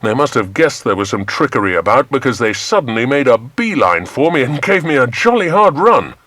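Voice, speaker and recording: A man voicing a hearty, breezy British adventurer with a brisk, jolly manner in a children's audiobook. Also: medium pitch, sing-song, a bit bright, dry recording, pitched above the performer's own voice. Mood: breathless, relieved.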